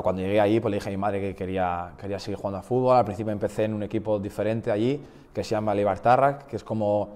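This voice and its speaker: Low-voiced young man chatting casually. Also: in a large hall. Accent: Spanish, slight Basque. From Portugal, raised in the Basque Country. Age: 20s. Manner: relaxed, frequent restarts and fillers. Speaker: guest footballer. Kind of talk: casual interview chat.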